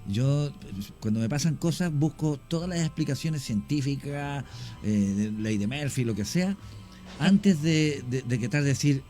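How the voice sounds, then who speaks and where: A man's voice: medium pitch, measured pace, warm tone, soft background music. A middle-aged man with a Chilean accent, a guest on a radio show, telling his story in a rambling, self-correcting, explanatory way. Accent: Chilean Spanish. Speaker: guest, longtime cemetery worker.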